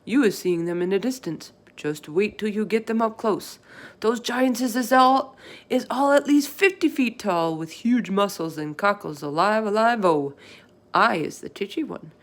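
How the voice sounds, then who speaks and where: Woman voicing an emphatic, excitable friendly giant. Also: medium pitch, moderate pace, voice pushed up, natural voice. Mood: excited, indignant. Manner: emphatic, garbled folksy grammar with made-up words. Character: big friendly male giant, earnest, excitable, muddled speech.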